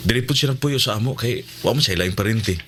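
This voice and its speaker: An actor playing a friendly, hospitable young cousin in a radio drama, talking in a casual, animated, reassuring way. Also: male voice, lowish voice, fast, slight background noise, lightly acted. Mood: friendly, explaining.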